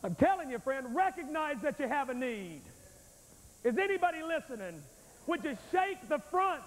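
A man in his forties preaching a revival sermon on a large church stage with a handheld microphone, telling a story in an animated, confrontational way. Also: extremely high pitch, moderate pace. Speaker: evangelist preacher. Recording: long hall reverb, old VHS tape.

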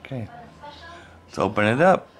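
A man's voice: fairly low pitch, expressive, moderate pace, slight background noise. A man from Southern Illinois, a father filming a home video, explaining a toy fishing pole and coaching his child step by step in a casual, patient way.

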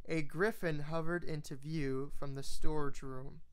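Story reader, unhurried delivery, clean resonant tone, middle pitch. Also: steady tone, smooth, rough recording. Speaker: fan fiction reader. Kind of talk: scripted story narration, audiobook-like reading.

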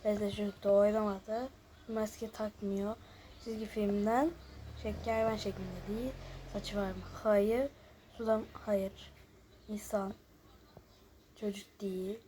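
A woman's voice: medium pitch, flat delivery, measured pace, pauses often. A woman host casually chatting through a guessing game, shifting between muttered asides and chattier remarks to viewers. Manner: alternates muttered asides, often near-whispered, with louder chatty remarks to viewers. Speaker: host of a gaming video. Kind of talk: casual chat while playing a guessing game. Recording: noticeable background noise with clicking.

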